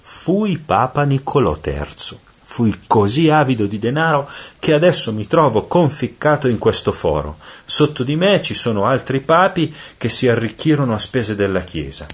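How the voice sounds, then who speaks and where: A tormented soul of a greedy pope, played by a male narrator, crying out high and strained. The storybook voice is raspy, bitter and plaintive. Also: big pitch swings, normal speed, hardly any pauses. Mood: bitter anguish.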